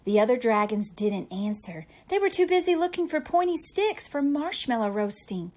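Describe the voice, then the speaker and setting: A woman reading a picture book aloud to young children with deliberate, dramatic emphasis. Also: a little high, big pitch swings, a little mellow.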